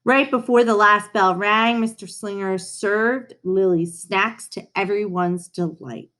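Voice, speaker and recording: A woman reading a children's story aloud in an animated, emphatic way, pausing to comment to her listeners. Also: medium pitch. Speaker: storybook reader. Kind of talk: expressive children's read-aloud with asides to listeners.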